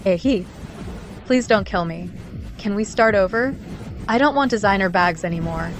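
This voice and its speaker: A woman's voice: mid-range voice, moderate pace, lightly acted. Pleading, desperate girlfriend played by a woman. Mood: desperate, begging. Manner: pleading, plaintive. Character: desperate, pleading, manipulative unfaithful young girlfriend. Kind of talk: melodramatic radio drama acting. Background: background music.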